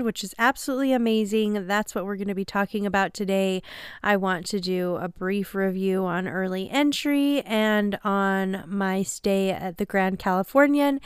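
A woman's voice: medium pitch, smooth, dry recording, studio quality. A woman hosting a podcast in a casual, upbeat and self-deprecating chat, a little nasal from a passing cold. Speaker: podcast host.

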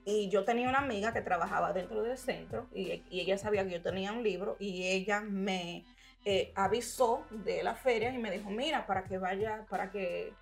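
A woman's voice: medium pitch, normal speed, creaky, faint music behind. Brief: woman writer, chatty interview storyteller. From Dominican-American. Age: adult, mother. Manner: animated storyteller, drops into English phrases. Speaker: guest writer and community organizer. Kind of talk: casual interview chat.

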